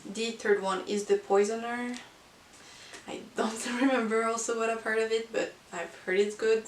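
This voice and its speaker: A woman who is not a native English speaker, chatting casually about books as a vlogger in a halting, hesitant way. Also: on the high side, flat delivery. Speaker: book vlogger. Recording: roomy, distant mic with hiss.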